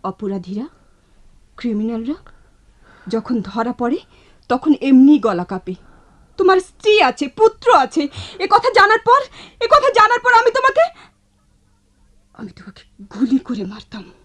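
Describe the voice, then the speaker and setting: Proud high-voiced wife, animated. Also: female voice, exaggerated voice. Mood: accusing, bitter. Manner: theatrical, measured rhetorical delivery with repeated phrases. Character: wronged, accusing wife, proud, cutting, ultimately compassionate. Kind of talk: Bengali radio drama acting.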